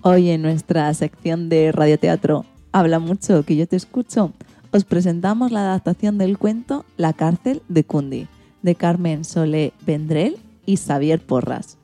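A woman radio host with a fairly low, mellow voice presents and interviews from a script. Her tone is soft and relaxed. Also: measured pace, faint music behind.

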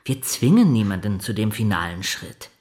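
An actress playing a pragmatic, placating heiress in a radio play. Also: female voice, a bass voice, sing-song, moderate pace, a little mellow. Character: pragmatic heiress urging her partners to endure.

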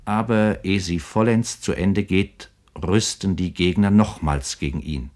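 Male radio narrator: deep voice, formal and articulate, scripted literary read. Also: unhurried, darkish tone.

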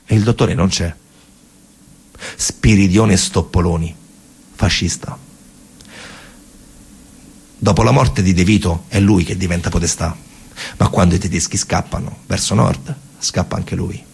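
A man with a central Italian, Roman accent performing a colloquial, emphatic theatrical storytelling monologue, pausing and repeating words, in a gravelly, husky voice. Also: deep voice, steady tone, darkish tone. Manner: colloquial, emphatic, with pauses and repetitions. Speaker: stage actor and narrator.

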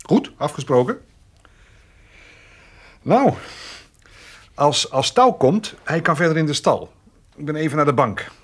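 An actor playing a hesitant, plain-spoken, good-natured farmer in a naturalistic radio drama, with a husky voice. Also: male voice, a little high, big pitch swings, unhurried, long pauses, heavily acted.

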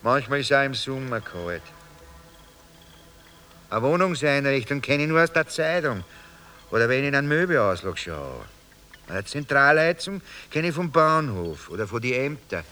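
Lively, bright-voiced Viennese vagrant. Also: male voice, medium pitch, moderate pace. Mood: musing, wry. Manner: rambling, musing, folksy storytelling with mock-grand turns. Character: talkative Viennese homeless man, wry, wheedling, street philosopher. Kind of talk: radio drama acting in dialect.

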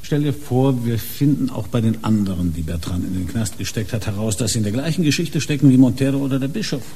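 A dogged police inspector in a radio thriller. His voice is mellow and rough-edged, pitched in the middle for a man. He probes impatiently, with a long reverb around his words. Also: lively intonation, fast. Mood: musing, suspicious. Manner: probing, impatient. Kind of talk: German radio crime drama acting. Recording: reverberant hall-like radio drama scene.

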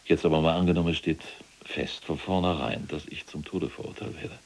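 An actor playing a cold, calculating SS general awaiting trial in a radio drama, speaking in a deliberate, formal, self-serving manner with a gravelly, husky voice. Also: male voice, extremely low pitch, fairly even intonation, darkish tone, exaggerated voice.

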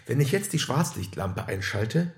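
An actor playing a matter-of-fact, probing police detective in naturalistic audio drama dialogue. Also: male voice, mid-range voice, very animated, normal speed, breathy edge, heavily acted.

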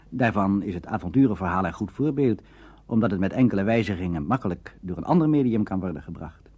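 A man presenting a scripted lecture in a formal, didactic and rhetorical manner, with a husky voice. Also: lowish voice, dark tone. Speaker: presenter of a radio drama lecture. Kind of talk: scripted explanatory lecture narration. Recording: dull lo-fi old radio recording.

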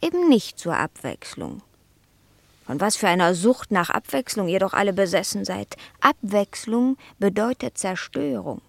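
An actress playing an indolent, fastidious pet cat who philosophizes in a languid, haughty radio-play manner, savoring the words. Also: female voice, mid-range voice, very animated, vocal fry, dry recording. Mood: haughty, aloof. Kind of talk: German radio play acting.

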